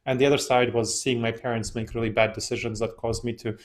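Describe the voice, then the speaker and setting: A man whose first language is not English, a guest in a casual interview, telling personal stories in a relaxed way and laughing often. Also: medium pitch, quick pace. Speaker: interview guest, decision-making expert.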